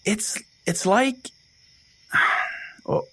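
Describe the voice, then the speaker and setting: A man's voice: sits high, extremely slow, bright, forceful tone, husky. A man hosting a comedy show as a mock-serious, rambling pastor. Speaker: host playing a pastor of a comedy call-in show. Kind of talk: comedy podcast monologue and banter.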